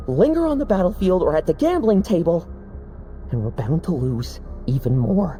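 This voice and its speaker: An actor playing a hardened, cynical knight who lectures bluntly in a game cutscene. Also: male voice, fairly high pitch, sing-song, normal speed, warm tone, faint music behind. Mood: stern.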